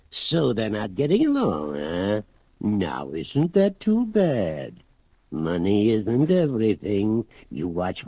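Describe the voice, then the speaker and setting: Gloating villain, American accent, husky mellow voice, swooping intonation, impatient, old-time radio drama. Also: male voice, fairly low pitch, no echo, exaggerated voice. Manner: gloating, barking, repeats himself. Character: gloating, scheming, impatient man plotting against his brother. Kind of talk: 1940s radio drama acting.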